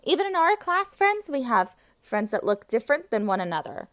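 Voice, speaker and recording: A woman with a fairly high, very animated voice reads a picture book to preschool children. She speaks as an enthusiastic teacher, breaking off from the text to talk directly to her young friends. Her intonation swings widely throughout. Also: normal speed. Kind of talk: children's read-aloud with asides to the listeners.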